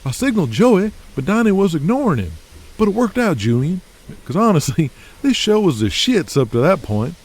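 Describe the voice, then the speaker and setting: Man doing a high-voiced, excitable wrestling backstager. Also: moderate pace. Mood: impressed, defensive. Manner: slangy, animated, high-pitched. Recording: loud music behind.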